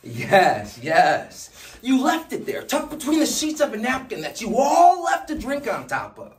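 A man's voice: sits low, very animated, heavily acted. Roomy, volatile dramatic monologue by a man, bitter and unhinged. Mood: frantic, anguished. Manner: halting, volatile, swinging from hushed to shouting. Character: bitter, unhinged man confronting an old acquaintance. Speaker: actor performing a monologue. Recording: roomy echo, distant mic, faint noise.

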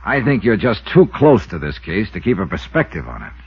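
Low-voiced, dogged radio detective. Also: male voice, very animated, brisk, husky, exaggerated voice. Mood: pressing, skeptical. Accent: American. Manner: clipped, hard-boiled, pointed. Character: dogged, skeptical male insurance investigator narrating his case. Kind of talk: 1950s radio detective drama acting. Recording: muffled 1950s radio recording with music.

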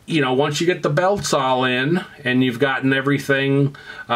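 A man's voice: mid-range voice, fairly bright tone. A man with a mid-range voice narrates a hands-on repair tutorial. He talks in a casual, folksy way, explaining things step by step as if chatting directly with fellow hobbyists. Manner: conversational, instructive, folksy. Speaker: presenter of vintage audio repair videos. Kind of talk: casual how-to voice-over narration.

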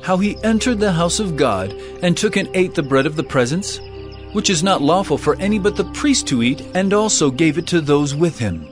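Guy playing earnest bible teacher preaching, higher male voice, really expressive and emphatic, music behind. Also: close to an everyday voice. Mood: challenging, firm. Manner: solemn, emphatic, measured preaching. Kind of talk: dramatized audio Bible acting.

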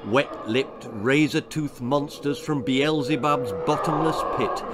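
A man's voice: mid-range voice, slow, very noisy. A man in his sixties reading a children's horror-comedy story aloud as its author, theatrical and relishing the grisly detail. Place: small indoor book-lined room. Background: music.

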